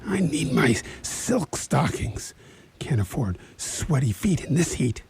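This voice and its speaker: Radio play role: jittery, boastful French male thief played by a man, raspy and creaky, muttering to himself. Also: medium pitch, very animated, slow pace, full character voice. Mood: self-motivating. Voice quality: husky, gravelly, breathy when hushed. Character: cocky, jittery French male cat burglar psyching himself up. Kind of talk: radio theatre monologue.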